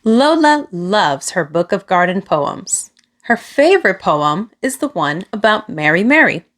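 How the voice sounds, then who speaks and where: A woman reads a picture book aloud for young children. She takes her time over each phrase, speaking slowly and deliberately. Her mid-pitched voice moves up and down expressively as she narrates. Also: very animated, a bit bright. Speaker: children's storybook reader.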